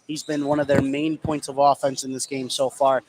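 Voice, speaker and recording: A man's voice: mid-range voice, little pitch movement, normal speed, noisy background. A man hosting live sports commentary on a radio broadcast. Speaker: play-by-play host.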